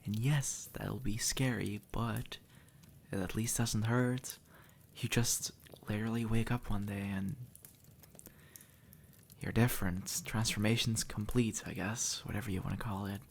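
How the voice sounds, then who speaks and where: A man playing an easygoing, centuries-old demon who was once human, speaking gently and casually to the listener in an intimate audio roleplay. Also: on the low side, fairly even intonation, unhurried, creaky, slight background noise. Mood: matter-of-fact, wistful. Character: easygoing two-century-old male demon, formerly human, reassuring and teasing.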